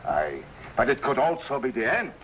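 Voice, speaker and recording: An actor playing an anxious, loyal Swiss conspirator in an old-time adventure serial, with urgent, archaic formal speech. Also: male voice, medium pitch, sing-song, moderate pace, a bit bright. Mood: grave, worried. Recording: slightly noisy vintage broadcast recording.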